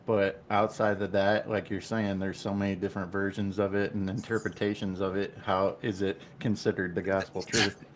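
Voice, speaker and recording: A man with a lowish, very flat voice asks questions in a measured way. He speaks over a video call with faint noise behind. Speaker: panelist. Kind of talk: casual panel discussion.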